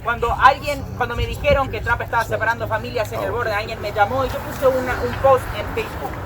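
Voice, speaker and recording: A man interpreting a speech live at an outdoor rally, rushing and halting with fillers. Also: very high-pitched, fairly even intonation, crowd noise. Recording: outdoor rally with street noise.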